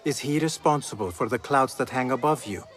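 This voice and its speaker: A man's voice: mid-range voice, a little fast, a bit bright, natural voice. A man playing a confident, teasing young street thief in a video game. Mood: playful, consoling. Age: young adult. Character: cocky, playful, warm-hearted young male street thief. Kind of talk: dramatic video game acting.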